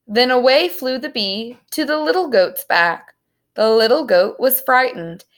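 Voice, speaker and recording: Slow-paced woman reading a children's story. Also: fairly high pitch, a bit bright. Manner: simple, deliberate phrasing for children. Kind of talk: read-aloud storybook narration.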